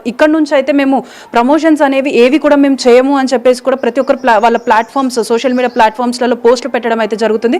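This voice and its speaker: A woman's voice: high-pitched, a little mellow. A woman field reporter giving a live on-location report, explaining in a wordy, repetitive way.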